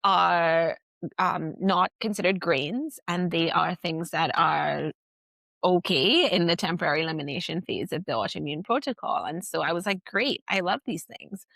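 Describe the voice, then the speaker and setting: Gravelly low-voiced woman, chatty guest. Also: normal speed, fairly bright tone, dry recording. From Trinidad and Tobago. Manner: chatty, many "like" fillers and self-restarts. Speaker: podcast guest. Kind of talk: casual reflective interview talk.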